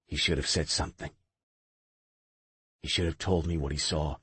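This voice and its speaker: A man narrating an audiobook, voicing a stubborn man who speaks low with a scraping voice. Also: steady tone, moderate pace, a bit bright, husky, no echo, exaggerated voice. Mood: bitter. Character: stubborn man, terse and bitter.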